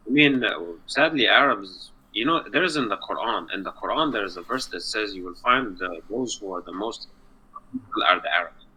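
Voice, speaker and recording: A man's voice: fairly low pitch, lively intonation, normal speed, sharp tone, slightly rough, poor audio. A man from Egypt in a casual online chat, earnest and rambling, sometimes searching for words. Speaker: chat partner.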